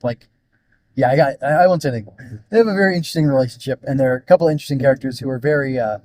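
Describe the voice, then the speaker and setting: A man's voice: fairly high pitch, darkish tone, no room sound. A man podcast host giving a casual, unscripted solo review, thinking aloud and often interrupting himself as he rambles.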